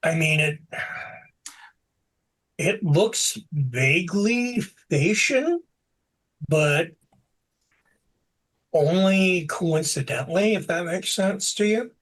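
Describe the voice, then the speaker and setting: A man's voice: sits high, slow, pauses often, low-quality recording. Man narrating a tabletop game. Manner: relaxed, explanatory, with frequent fillers. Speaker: game master.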